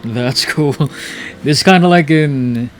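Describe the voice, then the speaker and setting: A man's voice: on the high side, slow pace, over loud music. A young man giving casual, laid-back game playthrough commentary in a musing way. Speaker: game streamer.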